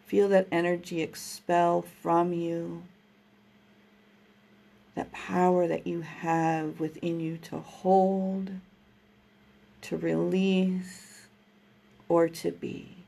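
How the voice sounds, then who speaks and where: A woman guiding a breathing meditation, speaking in a slow, soothing, gentle way. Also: lowish voice, gravelly. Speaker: meditation guide.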